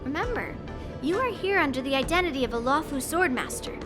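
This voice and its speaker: A confident swordmaster mentor teases and reassures her pupil in a high, lightly amused voice. Game music runs underneath. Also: lively intonation, fairly quick. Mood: teasing. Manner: teasing, coaxing and self-assured. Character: confident teasing swordmaster mentor, young woman. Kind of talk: game cutscene voice acting. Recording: game audio with music behind.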